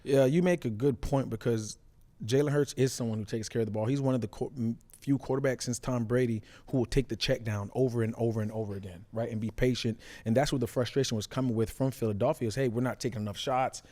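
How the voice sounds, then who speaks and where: A man's voice: mid-range voice, fairly even intonation, fast talker, warm tone. A man breaking down football plays as a talk show analyst, colloquial and explanatory. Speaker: sports talk show co-host, former player turned analyst.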